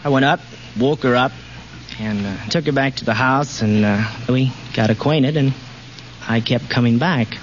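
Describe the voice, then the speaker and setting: A man's voice: mid-range voice, normal speed. A man answering a quiz host casually and a little hesitantly, as a contestant on a 1940s radio show. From United States, California. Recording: dull, noisy 1940s radio recording.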